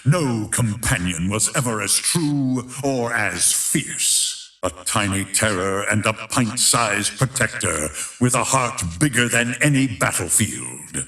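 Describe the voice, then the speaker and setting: A man playing a grandiose, menacing tournament announcer in a video game, narrating in a theatrical, emphatic, drawn-out style. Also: on the low side, lively intonation, pushed and bright, roomy sound, heavily acted. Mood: grand, dramatic. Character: grandiose, menacing male fighting-tournament announcer of epic legend. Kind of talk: dramatic scripted fighting-game narration.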